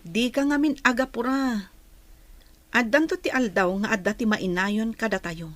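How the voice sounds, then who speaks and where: A woman playing a steady, reassuring but insistent comrade in a secretive group, in an Ilocano radio drama. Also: on the high side, normal speed, no echo, lightly acted.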